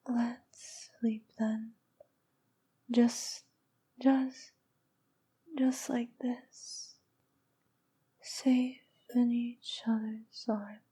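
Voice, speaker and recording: Female performer as vampire princess for ASMR roleplay: noble, needy, possessive lover; very slow, breathy, mellow, slipping into whispers. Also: on the high side, full character voice. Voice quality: soft, breathy, often dropping to a whisper. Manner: slow, cooing, possessive, coaxing. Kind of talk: intimate ASMR girlfriend roleplay.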